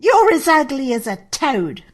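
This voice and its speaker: A woman's voice: sits high, very animated, unhurried, dry recording, studio quality. A woman playing a vain, taunting young stepsister in a children's fairy tale, imperious and boastful.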